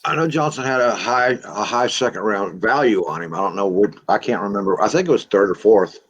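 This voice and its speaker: A man's voice: on the low side, brisk, breathy edge. A man on a sports panel, chatting casually over a dull, muffled microphone.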